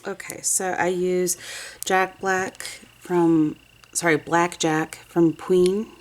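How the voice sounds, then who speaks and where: A woman's voice: on the low side, little pitch movement, slow, slight background noise. A woman in her thirties with a sniffly head cold, chatting casually and a bit ramblingly as she walks through a nail art tutorial at her desk. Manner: chatty, rambling, self-correcting. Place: home desk, nail station. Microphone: overhead camera, hands only.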